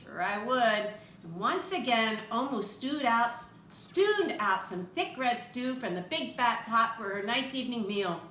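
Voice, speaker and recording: A woman reading a picture book aloud to children in an expressive way, with chatty asides to her young listeners. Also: a little high, measured pace, few pauses. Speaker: read-aloud storyteller for children. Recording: roomy echo with faint background noise.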